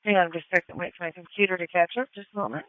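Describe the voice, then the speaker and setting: A woman's voice: lowish voice, fairly even intonation, rapid-fire, dry recording, narrow, tinny audio. A woman working as a police dispatcher, speaking in clipped, procedural radio calls over a scanner feed. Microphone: two-way radio dispatch mic. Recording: thin band-limited police scanner radio.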